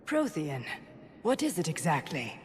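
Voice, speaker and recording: A woman's voice: low-pitched, sing-song, unhurried, slight background noise. A woman playing a composed, cool alien diplomat in a video game, poised and formal. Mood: cool, questioning. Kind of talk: dramatic video game acting. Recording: echoing hall, faint background ambience.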